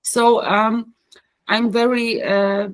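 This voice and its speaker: A woman with a Polish accent, speaking English as a third language in a coaching group call, pausing often with fillers and restarts. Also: a little high, level tone. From Poland. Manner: hesitant, many fillers and restarts. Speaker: coaching course participant. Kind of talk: group video call discussion.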